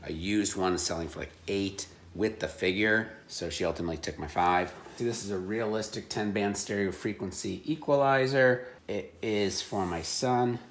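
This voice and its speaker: A man with a notably low voice talks casually, like a reseller going through a haul. His delivery is offhand and wry, with dry joking asides. There is a little background noise around the voice. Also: moderate pace, few pauses, roomy sound. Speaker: reseller showing secondhand finds. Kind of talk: casual chat.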